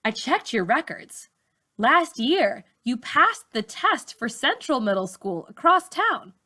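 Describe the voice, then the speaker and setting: A woman voicing a composed, businesslike female teacher of forty from a storybook, measured and matter-of-fact. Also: sits high, big pitch swings, pushed and bright, voice pushed up, full character voice. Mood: probing.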